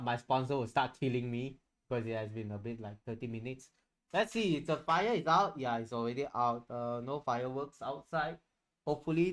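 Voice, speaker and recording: A man chatting casually on a livestream, rambling and candid, swearing freely as he goes. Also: medium pitch. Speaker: streamer and VTuber.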